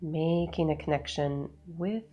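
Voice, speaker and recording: A woman with a low voice guides a meditation over background music. She speaks slowly and soothingly, setting out each phrase in a measured way and leaving long pauses between them. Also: lo-fi sound.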